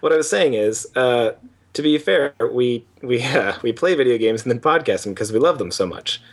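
A man's voice: medium pitch, expressive, normal speed. A young man with a Canadian accent co-hosting a casual gaming podcast, laid-back and rambling. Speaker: podcast co-host. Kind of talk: casual chat.

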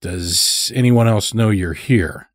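A male narrator plays a guarded lodge master in a story, measured and wary. His replies are terse, delivered in a husky voice at a deliberate pace. The recording is dry and close. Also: on the low side, no room sound. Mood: probing.

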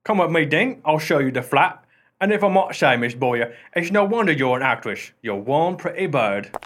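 A man's voice: fairly high pitch, animated, normal speed, fairly bright tone. A man playing an irreverent working-class porter in a radio play, chatty, colloquial and amiably wheedling. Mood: obliging, cheeky.

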